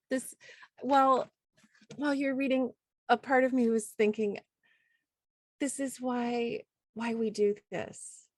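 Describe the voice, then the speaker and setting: Female poetry host, mid-pitched, slow and effusive, reflective introductions, recorded dry. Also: a little mellow, dry recording. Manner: effusive, reflective, halting with pauses. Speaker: host and poet. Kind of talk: warm, informal event introduction.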